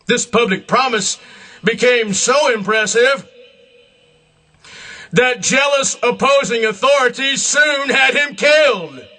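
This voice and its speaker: A man delivering a solemn, scripted religious address in a grandiose, emphatic manner, in deliberate short phrases. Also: extremely high pitch, sharp tone. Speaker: self-proclaimed religious leader.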